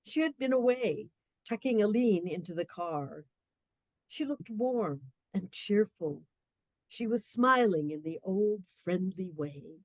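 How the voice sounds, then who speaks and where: A woman narrating a comic period radio play with measured, wry storytelling. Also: mid-range voice, animated, no room sound.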